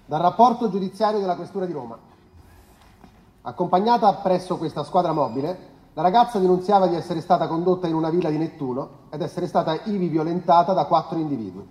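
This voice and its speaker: Man acting formal report-reading narrator, measured, documentary drama, fairly high voice, echoey. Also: dark tone, close to an everyday voice. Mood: formal, grave. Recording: echoey room.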